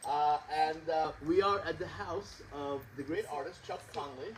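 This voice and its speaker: High-voiced man hosting an outdoor concert. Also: unhurried, a crowd in the background. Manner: rambling, self-deprecating, with many fillers. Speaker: concert co-director and host. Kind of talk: casual spoken introduction at a live concert. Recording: distant outdoor recording with background noise.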